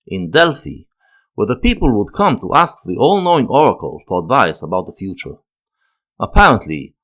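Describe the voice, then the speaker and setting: A man narrating a scripted analytical video essay. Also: medium pitch.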